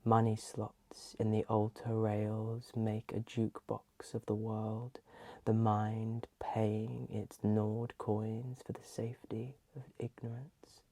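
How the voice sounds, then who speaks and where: Hushed, gravelly, low-key ASMR reader. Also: monotone, takes it slowly, no room sound. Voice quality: soft, breathy. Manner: hushed, often slipping into whisper. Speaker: ASMR video host. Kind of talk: soft-spoken ASMR poetry reading.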